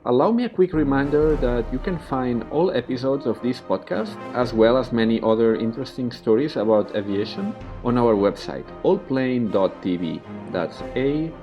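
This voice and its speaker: A male podcast host with a mid-pitched voice who reads a careful introduction and then questions his guests. The sound is slightly dull and lo-fi. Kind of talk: scripted introduction then interview.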